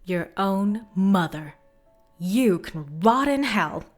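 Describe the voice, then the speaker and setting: A woman voices a cool, composed ghost-hunter who speaks quietly, slowly and with measured calm. Her near-whispered lines carry a cold, unhurried menace in this fantasy audio drama. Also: medium pitch, soft background music.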